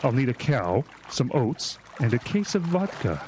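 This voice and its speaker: Casting: actor as pompous, evasive secret agent, very animated pitch, radio play, noisy water backdrop. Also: male voice, a little slow. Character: bumbling, secretive, overconfident male government agent. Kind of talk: audio drama acting.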